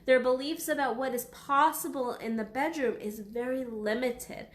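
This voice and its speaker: A woman in her thirties with a fairly high voice that sounds raspy from being unwell. She talks frankly and casually, swears freely, and comes across as blunt. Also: normal speed, echoey room. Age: 30s. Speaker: sex coach. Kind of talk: casual talk to camera. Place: small waiting room at her office.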